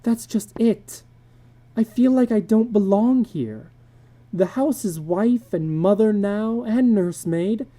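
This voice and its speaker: A woman's voice: mid-range voice, slow, mellow, pitched above the performer's own voice. A woman voicing a frightened, pleading housewife in a dramatic story reading, anxious and insistent.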